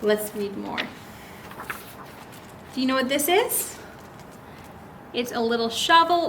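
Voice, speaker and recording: Female story time host for small kids: very bright, very slow and clear-cut teacherly delivery, question-led, slightly noisy recording. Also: sits high. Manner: teacherly, addresses children directly with many questions. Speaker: children's story time host at a public library. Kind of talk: read-aloud for young children with explanations and questions.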